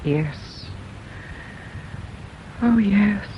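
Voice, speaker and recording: A woman's voice: mid-range voice, lively intonation, very slow. An actress playing an anxious, sorrowful middle-aged mother in a British radio drama, hesitant and ashamed. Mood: distressed, faltering. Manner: hesitant, faltering. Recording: dull radio drama recording with hiss.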